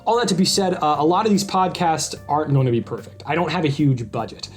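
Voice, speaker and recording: A man's voice: fairly high pitch, animated, fast, faint music behind. A man speaking as a podcast host, introducing things to listeners in an informal, upbeat and enthusiastic way.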